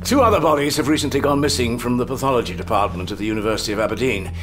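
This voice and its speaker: An actor playing a gruff, fussy older police chief in an audio drama, blustery and drily sarcastic. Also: male voice, mid-range voice, expressive, rapid-fire, a bit bright, faint music behind, natural voice. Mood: grave, urgent. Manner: blustery, hesitant mutters, dry sarcasm. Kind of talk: full-cast audio drama acting.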